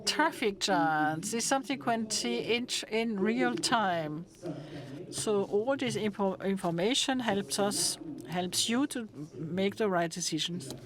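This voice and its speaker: Slow German-accented woman at a conference. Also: medium pitch. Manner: halting, with many restarts and fillers. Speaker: conference speaker. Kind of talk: conference talk. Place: conference hall with an audience.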